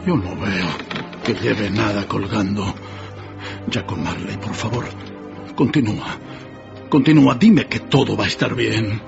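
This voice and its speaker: An actor playing a stubborn, anxious old miser in a radio drama, with a gravelly voice and a wary, dry, pleading manner. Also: male voice, on the low side, unhurried, long pauses. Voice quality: creaky, gravelly. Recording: dull muffled recording under music.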